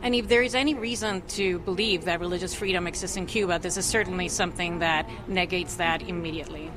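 A woman's voice: on the low side, steady tone, quick pace, background noise. A woman commissioner on religious freedom, speaking earnestly and fluently as a guest in a news interview.

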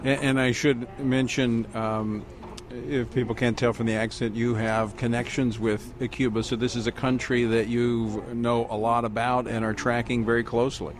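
A man's voice: medium pitch, level tone, normal speed, slight background noise. Stammering male news host. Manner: hesitant, repeats words while framing questions. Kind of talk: news interview.

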